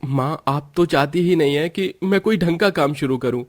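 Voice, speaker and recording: A man acts out a young village husband in a cartoon family drama. He is irritable and argumentative, speaking exasperatedly in a raised pitch. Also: moderate pace, dry recording, studio quality, natural voice. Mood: objecting. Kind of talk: Hindi cartoon story dialogue.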